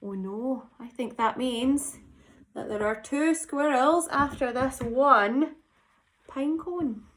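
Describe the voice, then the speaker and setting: A woman reading a picture book to children with expressive, dramatic emphasis and chatty asides. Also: high voice, slow, a little hiss or hum. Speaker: teacher reading a story to children.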